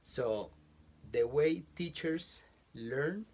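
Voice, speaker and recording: Man, Colombian Spanish accent, slow pace, halting restarts, interview guest, small closet recording. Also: fairly high pitch, lively intonation, darkish tone. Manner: halting, searching for words with many restarts. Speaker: guest, college professor. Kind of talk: casual interview chat.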